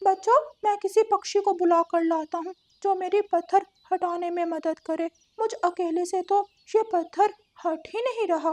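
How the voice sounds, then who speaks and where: A woman's voice: very high-pitched, steady tone, moderate pace, hardly any pauses, darkish tone, voice pushed up. A woman voicing an aggrieved, fussy uncle parrot in a cartoon, earnest and complaining. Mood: worried.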